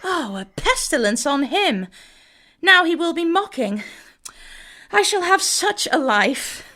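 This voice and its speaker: A lovesick young woman of Shakespearean radio drama. Her high, expressive voice pleads and sighs, lingering slowly over each phrase. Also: heavily acted. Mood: vexed. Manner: sighing, lingering delivery. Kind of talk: Shakespearean audio drama acting.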